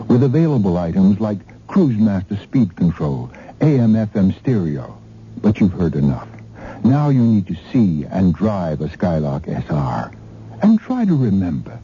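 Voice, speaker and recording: An American man delivers a 1970s radio car commercial. His deep voice is animated and confident, polished like a professional pitchman, with the dull sound of an old broadcast. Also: a little hiss or hum. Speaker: commercial announcer.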